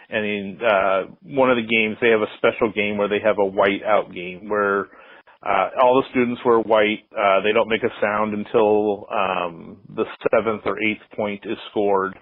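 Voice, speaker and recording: Low-voiced American man on a roomy, thin line. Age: middle-aged. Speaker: interview guest, sports public address announcer. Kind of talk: casual conversational interview answers. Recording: roomy, slightly muffled phone-like line.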